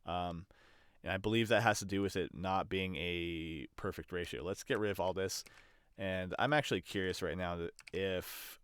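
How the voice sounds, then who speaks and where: A man's voice: low voice, moderate pace, a bit bright, clear, resonant tone, dry recording. A man giving a casual, explanatory screen demonstration, thinking aloud in a hesitant way as he presents an audio production tutorial.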